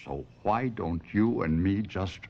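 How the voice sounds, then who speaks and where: A man's voice: deep voice, lively intonation, a little slow, gravelly, heavily acted. An actor playing a devoted but restless husband, a ventriloquist telling his own story in a 1970s radio drama. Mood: earnest, reassuring. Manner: dramatic, reflective first-person storytelling. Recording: muffled radio broadcast, music behind.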